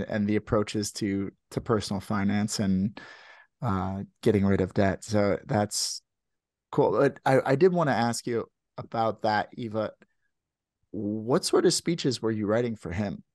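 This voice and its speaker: A man hosting a podcast, asking guests questions in a relaxed, halting way. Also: on the low side, dry recording, clean studio sound. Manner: relaxed, halting with repeated words. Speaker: podcast host. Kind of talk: casual interview chat.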